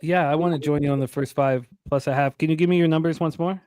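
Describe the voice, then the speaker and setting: A man hosting a sports betting talk show, running through odds, weather and pitcher stats with plenty of fillers. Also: fast, dry recording, clean studio sound. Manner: reads out odds and stats in a steady run, with fillers.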